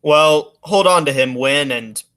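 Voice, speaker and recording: A man's voice: a little high, unhurried, a bit bright. A man playing an earnest, businesslike police detective in a 1940s radio play. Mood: intent, troubled. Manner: earnest, questioning, matter-of-fact.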